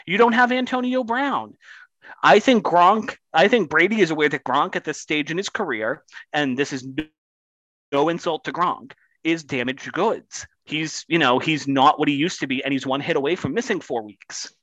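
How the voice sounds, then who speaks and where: A man's voice: on the high side, moderate pace, a bit bright, no echo, rough recording. A man co-hosting a casual sports podcast, building his points with repeated phrases. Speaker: podcast co-host.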